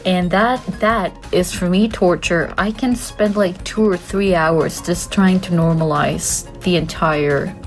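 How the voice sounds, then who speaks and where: A woman's voice: on the low side, slow pace, hardly any pauses. A woman, chatting casually to camera as a vlogger, wandering off on tangents with frequent laughs and casual swearing. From Costa Rica. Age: 20s. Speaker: art student and content creator. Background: faint background music.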